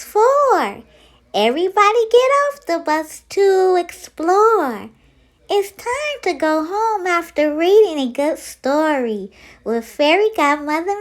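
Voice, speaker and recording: Slow, animated woman reading to kids. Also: extremely high pitch. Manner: sing-song, addressing small children. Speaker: children's story-time host. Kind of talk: animated read-aloud storytelling for young children.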